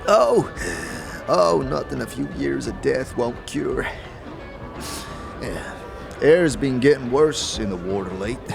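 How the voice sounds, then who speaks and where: Sickly old ex-warrior, creaky and wheezing, lively swooping pitch, stammering, wry grumbler, fantasy audio drama. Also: male voice, slow pace, pauses often, heavily acted. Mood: wry, wistful. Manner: stammering, chuckling, folksy slang. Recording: music under the voice.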